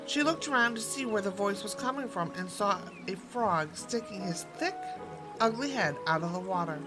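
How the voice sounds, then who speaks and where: A woman narrates a fairy tale as a storyteller, reading expressively from a script. Her voice sits at a medium pitch, with music clearly playing behind it. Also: animated, moderate pace.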